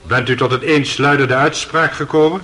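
Radio play judge: mid-pitched, forceful, crisp-edged formality, addressing the courtroom. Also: male voice, fairly even intonation, normal speed. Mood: formal, commanding. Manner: formal, official, projecting. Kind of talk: Dutch radio play acting.

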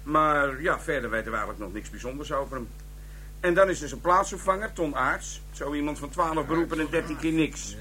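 A man's voice: a little high, brisk, bright, forceful tone, slight background noise. An actor playing an offhand, sardonic police detective giving his report in a Dutch radio drama. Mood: matter-of-fact. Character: wry, colloquial male police detective reporting findings.

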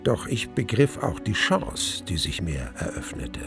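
An actor playing a wistful man recounting his past love as narrator of a German radio play, in measured, literary standard German. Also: fairly low pitch, sing-song, creaky, music in the background, exaggerated voice. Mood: reflective.

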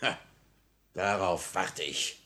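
A man's voice: low voice, slow pace, pushed and bright, full character voice. A man acting a smugly courteous, manipulative police minister with mocking politeness in a 1950 radio play. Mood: smug, patient. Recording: vintage 1950 radio recording.

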